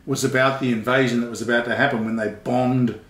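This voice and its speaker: A man's voice: medium pitch, flat delivery, fast talker, a bit bright. A middle-aged man casually discussing books, explaining his opinions. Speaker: father reviewing books with daughter. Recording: echoey room.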